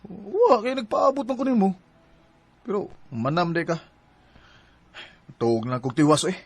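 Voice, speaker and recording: A fed-up, clear-voiced young boyfriend. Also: male voice, high voice, expressive, a little slow, no echo, full character voice. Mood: weary, hurt. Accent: Cebuano Filipino. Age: young adult. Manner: plaintive, complaining. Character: fed-up, hurt young live-in boyfriend doing the housework. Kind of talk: Bisaya radio drama acting.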